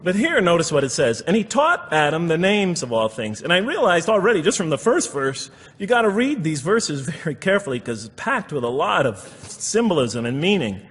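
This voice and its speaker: Male religious lecturer, very animated intonation, emphatic repetition, explaining scripture, long hall reverb. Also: on the high side, a little fast, few pauses, slightly rough. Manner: emphatic, repeats phrases for stress.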